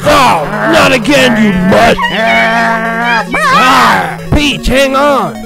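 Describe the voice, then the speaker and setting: An actor voicing a plucky, excitable male hero plumber with eager exclamations in an amateur cartoon dub. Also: sits high, drawn-out words, gravelly, exaggerated voice. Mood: exasperated. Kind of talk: amateur English anime fan dub. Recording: loud background music behind the voice.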